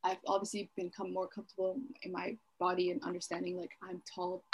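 A woman's voice: fairly low pitch, completely flat delivery, a little fast, husky, highs cut off. A woman in her twenties from Kenya, co-hosting a podcast in casual chat, talking freely with many fillers and frequent laughs. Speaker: podcast co-host.

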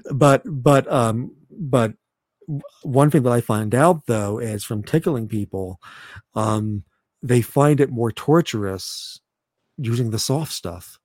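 A man in his thirties chatting casually as a podcast host, halting and often repeating words as he goes. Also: a little mellow, no echo, studio quality. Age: 30s. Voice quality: deep voice. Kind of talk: casual chat.

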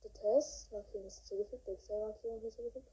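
Husky, dark-voiced rambling woman. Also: mid-range voice, steady tone, quick pace. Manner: rambling, full of fillers. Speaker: podcast host. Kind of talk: casual podcast talk. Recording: dull lo-fi recording.

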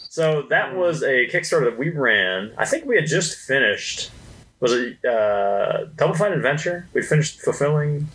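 A man's voice: mid-range voice, sing-song, moderate pace, a bit bright, husky, poor audio. A man chatting casually as a guest on a company podcast. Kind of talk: casual chat.

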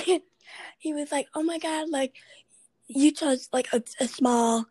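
Young woman podcast host, raspy high voice, rambly chatty talk, dry close mic. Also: a little slow, dark tone, no echo. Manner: chatty, rambling, many fillers. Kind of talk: casual chat.

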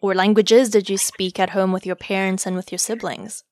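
A woman interviewing a guest, asking curious questions in a gently encouraging way. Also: mid-range voice, level tone, normal speed, no echo, studio quality.

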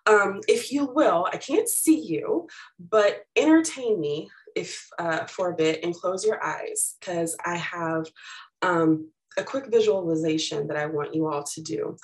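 A middle-aged woman, a little raspy from allergies, giving a chatty webinar presentation with plenty of fillers. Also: on the low side, a little slow, low-quality recording. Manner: casual and chatty, many fillers.

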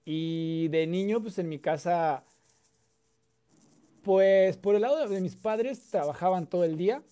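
A man with a Mexican accent and a soft, mellow tone that sits a little high for a man. He tells his stories loosely, full of fillers and restarts, as a guest in a casual sit-down chat. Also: measured pace, smooth. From Mexico (Coahuila). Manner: relaxed, chatty, many fillers and repeats. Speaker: guest, content creator.

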